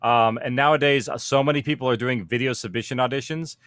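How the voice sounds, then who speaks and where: Male panel speaker, medium pitch, casual explanatory delivery, very dry recording. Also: steady tone, fast talker, fairly bright tone, no room sound. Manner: informal, explanatory. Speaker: panelist, audio producer. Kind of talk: conference panel talk.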